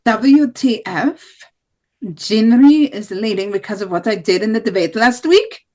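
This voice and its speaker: A far-right female presidential candidate, acted by a woman, angry and scheming. Her voice sits a little high. The lines are read haltingly, as in an amateur play reading. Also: expressive, a little mellow. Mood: shocked.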